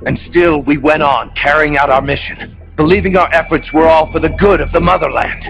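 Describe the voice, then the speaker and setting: Game role: embittered Soviet rebel soldier, impassioned and declamatory man, dull lo-fi sound over loud music. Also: a little high, fairly even intonation, fairly quick, gravelly. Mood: bitter, scornful. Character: bitter, defiant male Soviet soldier, loyal rebel. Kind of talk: video game cutscene acting.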